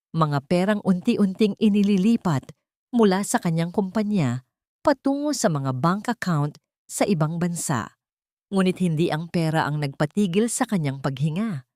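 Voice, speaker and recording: A woman reading a scripted dramatic story in a measured, solemn, suspenseful way. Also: on the low side, darkish tone, no echo, clean studio sound. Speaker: narrator of audio drama stories.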